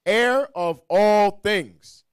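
Male scripture reader, slightly high voice, projecting each verse loudly with drawn-out, declaimed phrasing. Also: smooth. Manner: loud, declamatory, drawn-out delivery. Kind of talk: reading scripture aloud.

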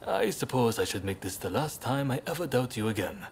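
A young samurai rebel leader from a video game, polite and earnest. His pitch moves in lively swings while his phrasing stays measured and composed, with some ambient noise behind him. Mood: wry, measured. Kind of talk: video game cutscene acting.

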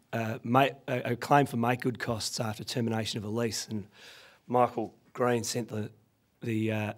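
A man in his thirties with an Australian accent and a husky voice after losing it, presenting a legal seminar from a podium with many fillers. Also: lowish voice. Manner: self-deprecating anecdotal delivery with frequent fillers. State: husky, recovering from lost voice. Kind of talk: professional seminar talk. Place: conference room. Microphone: podium microphone.

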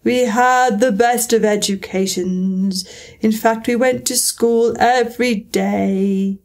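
A woman reading aloud and voicing a mournful male Mock Turtle creature who sighs and sobs as he speaks slowly. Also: fairly high pitch, steady tone, darkish tone. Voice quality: slow, drawn-out, sighing.

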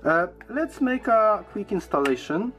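Slow-paced man reviewing a product. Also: high voice, sing-song, a bit bright, faint music behind. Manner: halting, hesitant delivery with repeated words. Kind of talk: casual unboxing and review.

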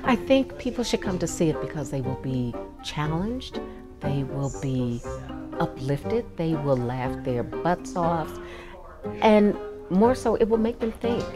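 A woman's voice: sits low, very animated, unhurried. A woman stage actor talking in an interview about her play. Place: indoors in a theatre. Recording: music behind the voice. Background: music.

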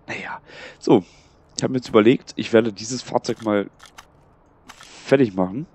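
A man's voice: on the low side, warm tone, no echo. A young man with a cold, casually rambling and muttering to himself as he plays a game for his channel. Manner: chatty, rambling, muttering to himself while playing. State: has a cold. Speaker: gaming channel host. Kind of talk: casual let's play commentary.

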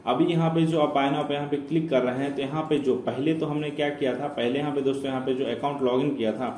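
A man's voice: mid-range voice, a little mellow. A man presenting a screen-recorded tutorial, explaining each step and calling his viewers friends. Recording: echoey room with faint noise.

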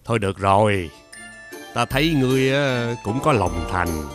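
A man playing a greedy, scheming rich old father in an animated fairy tale, pompous and drawling with hesitant filler sounds. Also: on the low side, normal speed, lightly acted. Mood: haughty, calculating. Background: background music.

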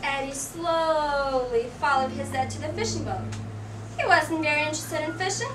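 A woman's voice: high voice, moderate pace. A woman narrating a scripted children's story for a puppet show. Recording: echoey, noisy room from far off.

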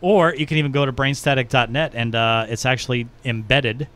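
A man's voice: mid-range voice, steady tone, a little fast, a bit bright. A man hosting a casual podcast, chatting into a close mic in a small studio. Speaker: podcast host. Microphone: close podcast mic with headset.

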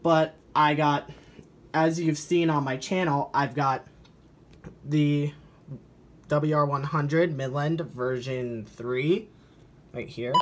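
A man giving a casual, halting show-and-tell as a hobby vlogger, thinking aloud behind a handheld camera at home. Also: a little high, steady tone. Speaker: hobby vlogger showing a weather radio collection. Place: indoor room at home. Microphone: handheld camera or phone, behind the lens. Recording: noisy amateur home camera recording.

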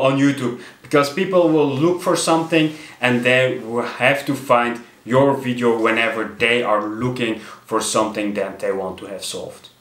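A man's voice: medium pitch, fairly bright tone. Young belgian guy at his desk doing a youtube tips video, lots of uhs, kinda roomy sound. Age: young adult. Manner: explanatory, many uh fillers and restarts, gestures with his hands. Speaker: channel host. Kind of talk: talking-head tutorial. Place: small home office room, indoors at a desk. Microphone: fixed camera at desk distance.

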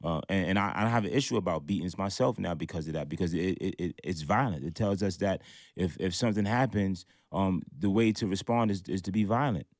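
A man's voice: sits low, a little fast, darkish tone, dry recording. A man in his thirties, a guest in a radio studio discussion, speaking earnestly about his past and often repeating words. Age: 30s. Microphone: studio mic.

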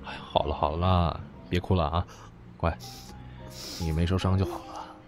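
A man's voice: sits low, level tone, moderate pace, music in the background, lightly acted. A man acting a caring, protective young man in an amateur Chinese audio drama. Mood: soothing. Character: caring young man, protective older-brother type, worried but doting.

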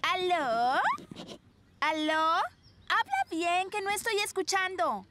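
A woman's voice: very high-pitched, slow pace, over music. A woman voicing a bossy, scheming, whiny teenage schoolgirl in a cartoon, dramatic and demanding. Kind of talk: animated cartoon dub acting.

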